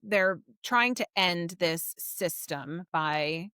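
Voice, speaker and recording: A woman's voice: lowish voice, very slow, sharp tone, clean tone, no room sound, clean studio sound. A woman co-hosting a podcast, casually recapping and discussing a book in an informal, explanatory way. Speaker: podcast co-host.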